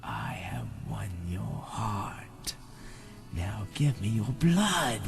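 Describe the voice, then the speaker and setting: A man's voice: fairly low pitch, huge pitch swings, soft background music, heavily acted. Man as a whispering, seductive male vampire. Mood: menacing. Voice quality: hushed, breathy whisper. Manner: slow, intense, romantic wooing. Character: seductive, passionate male vampire stalker, smooth predatory lover.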